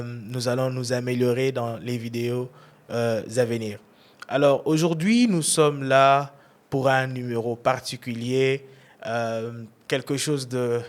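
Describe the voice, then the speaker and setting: A man hosting an online interview show, talking in an animated way and repeating words for emphasis. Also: medium pitch. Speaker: interview host.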